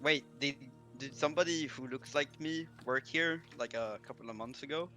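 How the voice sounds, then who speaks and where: A man playing a tabletop game, talking casually and getting flustered and excited. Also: medium pitch, normal speed, soft background music. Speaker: player. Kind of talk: casual chat.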